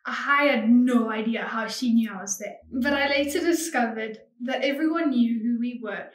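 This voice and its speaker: A young girl raised abroad answering questions in a halting, shy way at home. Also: fairly high pitch, a little hiss or hum. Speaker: missionary kid. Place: home dining room, indoors. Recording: echoey room, slightly distant.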